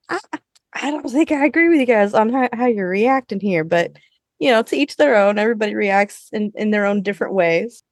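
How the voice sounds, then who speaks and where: A woman's voice: mid-range voice, no echo, clean studio sound. A woman hosting a podcast, leading a casual conversational discussion. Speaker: podcast host.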